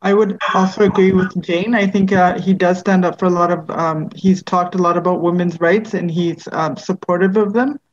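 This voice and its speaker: A woman in a focus group speaks softly and agreeably in a somewhat low voice. Her audio is dull and lo-fi, with faint background noise and clicks. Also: fairly even intonation, normal speed, few pauses.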